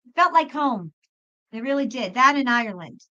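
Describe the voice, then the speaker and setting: High-voiced woman on a dull lo-fi stream. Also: lively intonation, normal speed, sharp tone. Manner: emphatic, chatty, repeats phrases. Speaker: host and tarot reader. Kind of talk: live spiritual tarot reading, casual chat with viewers. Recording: dull lo-fi live stream audio.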